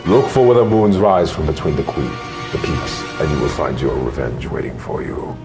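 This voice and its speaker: An extremely low, commanding voice for an aging sorcerer king , acted by a man in a fantasy radio drama. He is cold and sardonic, measuring out each threat. Music plays clearly behind him. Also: sing-song, moderate pace, exaggerated voice. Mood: sinister, gloating. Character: cold, menacing, imperious older male tyrant king with sorcerous power. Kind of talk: fantasy radio theater acting.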